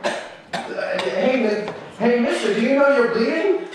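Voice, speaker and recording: Panicky high-pitched kid, echoey and distant. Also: male voice, lively intonation, unhurried. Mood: panicked. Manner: stammering, panicky. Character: frightened excitable young kid bystander, male. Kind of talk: old-time radio drama acting. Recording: very echoey hall, distant mic, noisy.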